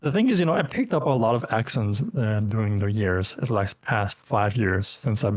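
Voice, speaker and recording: A man's voice: on the low side, animated, normal speed, no echo. A man from Denmark with a Danish accent, a native Danish speaker, chatting loosely as a guest in an interview and filling his talk with lots of filler words. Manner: rambling, heavy with fillers. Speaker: guest streamer. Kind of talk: casual interview chat.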